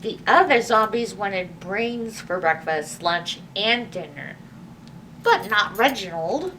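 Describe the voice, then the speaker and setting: Animated, bright-voiced woman hosting children's storytime. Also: mid-range voice, takes it slowly. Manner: animated, exclamatory, talking to young children. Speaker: library storytime host. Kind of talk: children's storytime presenting and read-aloud. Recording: distant microphone with faint background noise.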